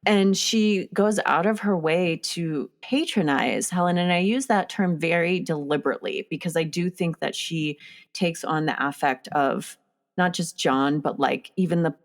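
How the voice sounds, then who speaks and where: A young woman with a low voice hosts a casual talk about films. She is chatty and often stops to search for the right word, filling the gaps with ums. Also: moderate pace. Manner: chatty, searching for words, many fillers. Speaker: podcast host. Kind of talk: casual film discussion.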